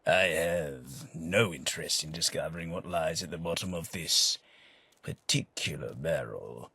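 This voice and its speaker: Posh old-fashioned british gent from a video game, rambly and pompous, deep voice, bright and a bit raspy. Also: male voice, no echo, heavily acted. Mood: weary, disdainful. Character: genteel, long-winded aristocratic British gentleman adventurer companion. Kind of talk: theatrical video game companion dialogue.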